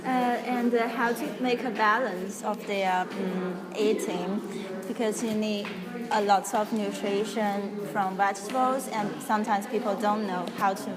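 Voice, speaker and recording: A woman interviewer asking questions hesitantly, halting on many fillers. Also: medium pitch, steady tone, over loud music. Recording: loud crowd noise behind.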